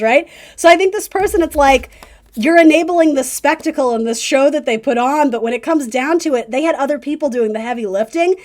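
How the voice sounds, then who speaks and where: A woman hosting a casual tarot reading, talking directly to the listener with encouraging, conversational advice. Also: on the high side. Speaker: tarot reader and video host.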